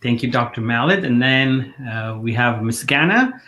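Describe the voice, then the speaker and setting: A man's voice: mid-range voice, lively intonation, sharp tone, slight background noise. Earnest male talk show host. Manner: earnest, halting with fillers. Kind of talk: hosting a live online talk show.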